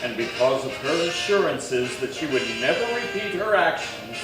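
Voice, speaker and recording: A male announcer reads scripted narration for a radio show. His mid-range voice is formal and measured, set back from the mic in a very echoey space with music playing. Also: medium pitch, expressive. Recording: echoey room, distant mic.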